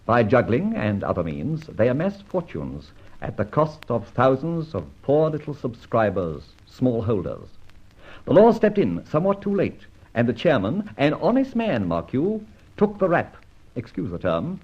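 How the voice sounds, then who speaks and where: An actor playing a masked, mocking yet courteous gentleman abductor in an old-time radio detective drama, with a theatrical, urbane, teasing delivery. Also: medium pitch, huge pitch swings, unhurried, breathy edge, lo-fi sound, full character voice. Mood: wry, persuasive.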